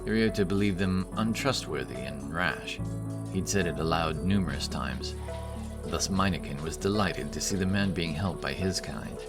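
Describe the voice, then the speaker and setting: A man narrates a fantasy audiobook in a deep voice. His scripted delivery is dramatic storytelling, with music clearly playing behind him. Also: moderate pace.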